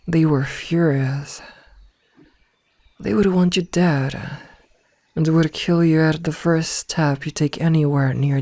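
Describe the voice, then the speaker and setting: Woman acting as yandere werewolf woman, ASMR roleplay, soft and husky, slow cold delivery, possessive, half-whispered, close dry sound. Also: low voice, no echo, full character voice. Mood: grave, confiding. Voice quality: soft, breathy, often slipping into whisper. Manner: cold, callous, deliberate word delivery. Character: possessive yandere female werewolf omega, menacingly loving.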